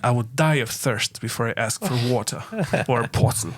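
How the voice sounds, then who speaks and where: Portuguese guy hosting a chill podcast, mid pitch, thinks out loud with lots of uhs. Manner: reflective, halting with frequent fillers. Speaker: podcast host. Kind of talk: casual chat.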